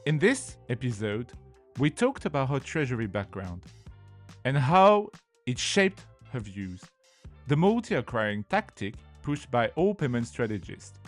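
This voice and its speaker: A man's voice: measured pace, soft background music. Playful French-accented podcast host, man. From France. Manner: playful, lively delivery with sudden pitch jumps. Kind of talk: scripted podcast intro and interview.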